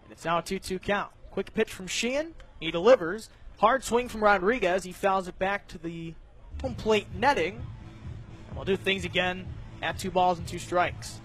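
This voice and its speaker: A man giving live baseball radio play-by-play commentary, narrating each pitch in measured detail. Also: fairly bright tone, faint music behind. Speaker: play-by-play announcer.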